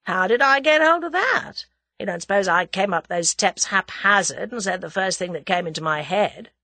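A woman's voice: on the low side, fairly even intonation, a little fast, bright, forceful tone, no echo. A woman voicing a cool, cocky young Englishman, scornful and confident as he bluffs his captors in a story. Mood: mocking.

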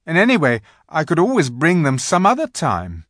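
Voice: mid-range voice, wildly swooping pitch, moderate pace, a bit bright, no echo, studio quality, pitched above the performer's own voice. A reader voicing an eager, sulky young schoolboy in a children's storybook, whiny and insistent. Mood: sulky, dismissive.

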